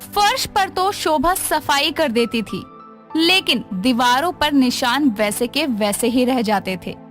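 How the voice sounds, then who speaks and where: High-pitched, unhurried woman storyteller. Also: lively intonation, a bit bright, soft background music. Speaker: narrator. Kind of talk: scripted storytelling narration.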